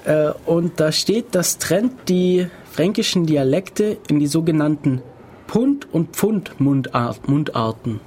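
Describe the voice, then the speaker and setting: A man's voice: a little high, lively intonation, slow pace, darkish tone, a little hiss or hum. A man hosting a casual podcast, reading an article aloud and stumbling over words now and then. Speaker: podcast host.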